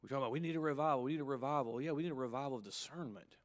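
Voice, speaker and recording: Emphatic preacher in his fifties. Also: medium pitch. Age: 50s. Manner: emphatic preaching with rising, punchy peaks and quick asides. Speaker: pastor. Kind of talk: sermon to pastors.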